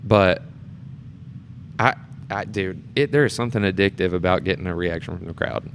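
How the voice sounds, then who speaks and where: A man's voice: low voice, moderate pace, a little hiss or hum. A man talking casually as a guest in an informal conversation. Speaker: community theatre performer, podcast guest. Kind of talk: casual chat.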